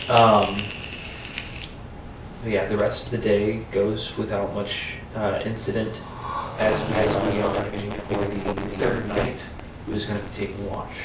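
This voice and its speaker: A man improvising narration as a tabletop game master, halting and pausing mid-description. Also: lowish voice, completely flat delivery. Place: echoey room, live-streamed game session. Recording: roomy, distant mic, noisy background.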